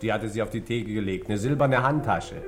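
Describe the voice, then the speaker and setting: An actor playing a cagey, sardonic bar owner with a shady past in a German radio crime drama, answering offhandedly. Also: male voice, lowish voice, fairly quick, soft background music, lightly acted. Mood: offhand.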